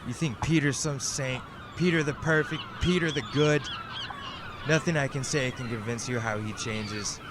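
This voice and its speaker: A man's voice: mid-range voice, moderate pace, background noise, close to an everyday voice. A man playing an unhinged, grieving villain who swings between menace and tender pleading in a melodramatic audio drama. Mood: bitter. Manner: melodramatic, intense.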